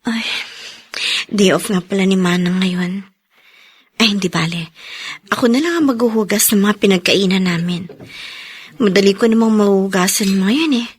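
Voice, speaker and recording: A woman's voice: medium pitch, moderate pace, pauses often, slightly rough, natural voice. A woman with a Filipino accent playing a long-suffering young single mother, earnest and emotional, in a radio drama. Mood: chatty.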